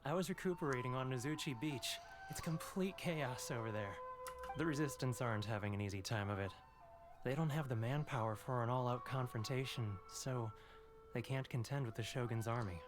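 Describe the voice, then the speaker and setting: A man's voice: mid-range voice, fairly quick, close to an everyday voice. Video game refugee, played by a man: weary, rattled, mid-range male voice over music. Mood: frustrated, anxious.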